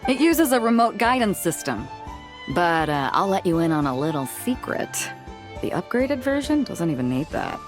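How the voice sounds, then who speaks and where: Lively, self-assured seafaring woman. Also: fairly low pitch, normal speed, over music. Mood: friendly, breezy. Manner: easygoing, frank, self-assured. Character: breezy, confident seafaring woman selling weapons. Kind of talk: video game voice acting.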